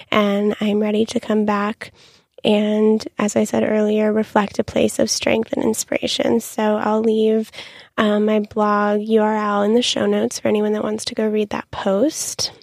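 A young woman hosting a podcast in a casual, chatty monologue, her voice raspy and full of ums. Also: mid-range voice, darkish tone, dry recording, studio quality. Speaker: podcast host.

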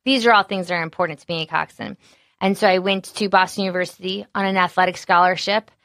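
A naturally loud woman with a bright, forceful tone and a lowish voice talks candidly about her work as an executive chef. She keeps it animated and chatty, with plenty of ums and likes. The sound is dry and close, with no room echo. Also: fast. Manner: animated, candid, many fillers. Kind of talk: casual interview conversation.

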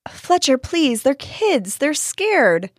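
Mythic gardener head for storybook, played by a woman: loving, protective, high gentle voice. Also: big pitch swings, slow, no echo, studio quality, heavily acted. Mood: pleading, gentle. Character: kindly gardener from myth, a talking head.

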